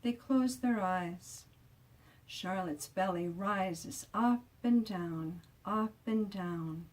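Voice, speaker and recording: A woman author reads her children's picture book aloud expressively and deliberately, drawing out descriptive words for emphasis. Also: mid-range voice, recorded from a distance.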